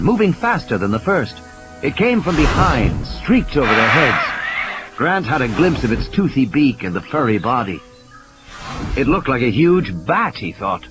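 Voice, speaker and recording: A man giving a dramatic scripted narration of a story as an audiobook narrator. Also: mid-range voice. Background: music and sound effects.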